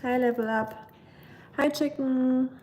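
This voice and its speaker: A woman's voice: sits high, fairly even intonation, slow, noisy background. A young woman with a German accent, chatting casually on a livestream while cooking in her home kitchen, with lots of ums. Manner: chatty, rambling, many ums, reads chat aloud. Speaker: livestream host. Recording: distant mic with kitchen clatter. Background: dishes, pots and pans clinking.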